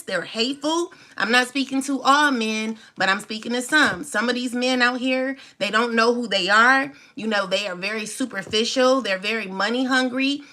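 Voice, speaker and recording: A woman's voice: medium pitch, pushed and bright. A woman in her forties speaking off the cuff straight to her viewers, defiant and emphatic, repeating phrases to drive them home. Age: 40s. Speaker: tarot reader and channel host. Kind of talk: unscripted personal monologue to viewers.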